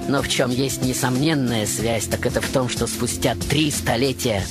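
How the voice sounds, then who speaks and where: A man hosting a radio show in a theatrical monologue, with a grandiose, dramatic manner. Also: medium pitch. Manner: grandiose, dramatic, with whispers and chuckles. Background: music behind the voice.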